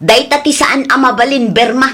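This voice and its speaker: A worried, defensive wife in a radio play, with a fairly high voice. She speaks earnestly and pushes back against accusations. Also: normal speed, fairly bright tone. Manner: earnest, protesting. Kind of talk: radio drama acting.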